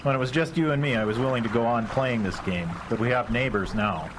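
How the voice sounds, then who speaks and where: A man's voice: mid-range voice, quick pace, natural voice. Weary, humble working man male actor for radio drama: plain, sincere delivery over noisy watery ambience. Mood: resigned, earnest. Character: humble, weary caretaker worn down by a feud. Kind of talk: live radio drama acting.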